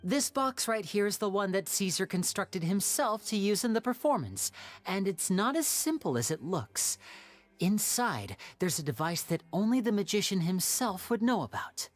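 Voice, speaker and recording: A woman playing a poised, clever young stage magician in a video game, explaining things in a measured, theatrical way. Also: medium pitch, very animated, no room sound, close to an everyday voice. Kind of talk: scripted video game dialogue. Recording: music under the voice.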